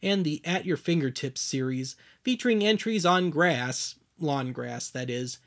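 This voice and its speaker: A man delivers scripted documentary narration as a host. His voice sits a little high for a man, and his humour is dry and wry. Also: animated, a little slow, a bit bright.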